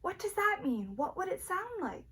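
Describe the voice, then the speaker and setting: A woman's voice: very high voice, sing-song, moderate pace, sharp tone. A woman teacher reading a children's story aloud expressively and asking young listeners questions.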